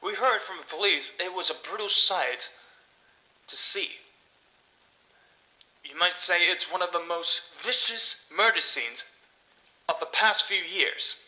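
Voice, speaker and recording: A man playing a solemn news anchor in a horror audio drama, formal and measured. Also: high-pitched, pushed and bright, heavily acted, low-quality recording. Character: solemn male news anchor reporting a brutal murder.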